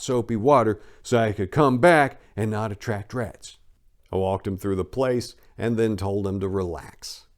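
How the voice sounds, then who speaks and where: A man's voice: on the low side, darkish tone. A man giving a dramatic reading of a first-person story as an audiobook narrator, in a colloquial, salty and emphatic way.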